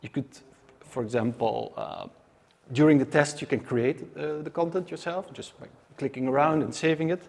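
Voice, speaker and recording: Dutch man with a gravelly voice. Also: on the high side, sing-song, moderate pace, darkish tone. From Netherlands. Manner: hesitant, many filler sounds and restarts. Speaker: conference speaker. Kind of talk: live tech talk to an audience. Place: conference room.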